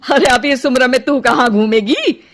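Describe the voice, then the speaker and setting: A woman's voice: high voice, normal speed. A woman playing a proud, envious elderly mother-in-law in a cartoon story, stiff and complaining. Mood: jealous. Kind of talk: acted cartoon story dialogue.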